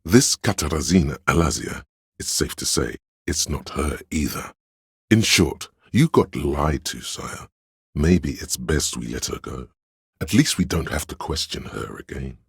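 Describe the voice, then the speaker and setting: A man voicing a sly, flattering inner voice in a video game, with theatrical, courtly, old-fashioned diction and a gravelly, husky bass. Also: a little slow, no room sound, clean studio sound, exaggerated voice. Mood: knowing, confiding. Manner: grandiose, archaic courtly diction, conspiratorial. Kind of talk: scripted video game voice acting, mock-Shakespearean.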